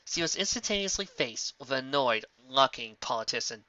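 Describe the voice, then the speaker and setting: A woman's voice: rumbling low, animated, normal speed, bright, forceful tone, no echo. A woman narrating a piece of fan fiction as an amateur reader. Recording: dull, muffled lo-fi recording.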